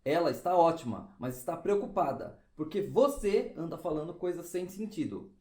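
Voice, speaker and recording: Performer playing a concerned, animated father in echo. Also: medium pitch, normal speed, lightly acted. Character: concerned, reasoning father of a schoolboy.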